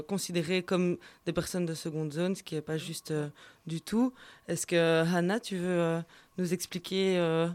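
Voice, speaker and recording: A man hosting a talk show, pausing often with fillers as he hands over to guests. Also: high voice, smooth, dry recording. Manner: halting, frequent filler pauses. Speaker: radio show host.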